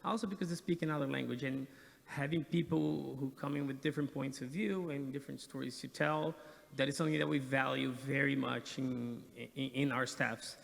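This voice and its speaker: A man's voice: mid-range voice, fairly even intonation, brisk, gravelly, a bit bright. A man from Brazil, a television writer, giving earnest answers on a panel in an echoey hall. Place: large indoor conference hall.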